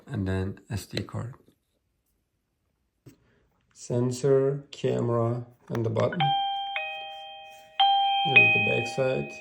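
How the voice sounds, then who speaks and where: A man's voice: medium pitch, vocal fry, bright, forceful tone, slight background noise. A man giving a casual product demonstration as a reviewer, in plain, step-by-step short phrases.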